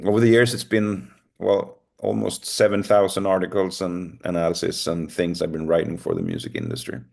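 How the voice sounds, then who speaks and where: Swedish guy, middle-aged, deep voice, recorded talk full of uhs and ums. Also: gravelly. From Sweden. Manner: hesitant, many ums and uhs, self-deprecating. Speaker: lecturer. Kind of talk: recorded remote lecture.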